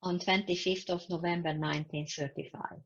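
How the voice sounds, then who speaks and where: A woman in her eighties from Serbia giving personal testimony over a video call, speaking slowly in halting phrases. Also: on the low side, fairly even intonation, slightly rough. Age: 80s. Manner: slow, halting, non-native phrasing. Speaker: Holocaust survivor interviewee. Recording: dull lo-fi video call audio.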